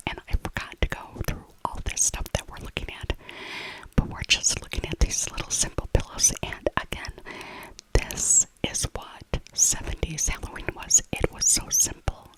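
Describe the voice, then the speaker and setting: Whispering middle-aged woman, ASMR voiceover. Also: poor audio. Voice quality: soft unvoiced whisper. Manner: repeats phrases softly. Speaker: ASMR creator. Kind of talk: whispered ASMR shopping voiceover, casual rambling.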